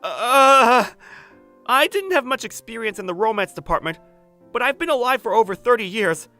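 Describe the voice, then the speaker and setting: A woman's voice: fairly high pitch, sing-song, a little fast, a bit bright, soft background music. A woman voicing an earnest, easily flustered man in his thirties, narrating a romantic comedy manga dub. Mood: earnest, nervous. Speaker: voice actor narrating and playing the male lead.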